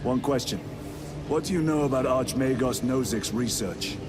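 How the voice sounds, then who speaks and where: A man's voice: mid-range voice, moderate pace, natural voice. A man playing a stern, stoic veteran commander of space soldiers in a video game, terse and commanding. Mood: guarded. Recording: loud music behind the voice.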